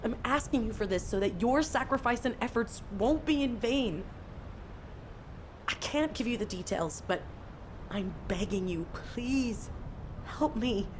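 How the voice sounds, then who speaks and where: Resolute woman fighter, rumbly background. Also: lively intonation, slow, background noise. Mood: pleading. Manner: resolute, serious. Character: determined, battle-scarred young woman fighter, stubborn and self-sacrificing. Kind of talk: dramatic video game voice acting.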